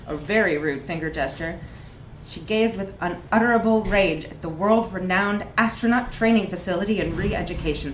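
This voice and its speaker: A woman's voice: mid-range voice, reverberant, a little hiss or hum, poor audio. A woman reads a comic short story aloud at a live event, with wry, emphatic narration. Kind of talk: animated literary narration, live reading.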